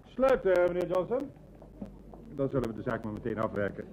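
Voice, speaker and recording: A man in an old Dutch radio drama playing a brisk, needling bank manager. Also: medium pitch, wildly swooping pitch, lo-fi sound. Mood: businesslike. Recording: noisy old radio play recording.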